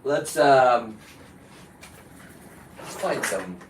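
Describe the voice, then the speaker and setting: A man hosting a casual livestream, talking in a halting, digressive way as he chats to someone off-screen and to his viewers. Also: medium pitch, expressive, very noisy. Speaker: live stream host. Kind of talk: casual, rambling hands-on livestream chat. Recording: echoey room, distant from the mic.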